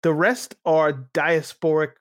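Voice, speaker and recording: A man co-hosting a casual sports chat show, talking in a rambling, animated way with many restarts and fillers. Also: fairly high pitch, slow pace. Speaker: podcast co-host.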